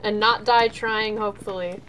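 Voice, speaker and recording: A woman's voice: mid-range voice, fairly even intonation, moderate pace, fairly bright tone, a little hiss or hum, rough recording. A woman chatting casually with friends while playing a game.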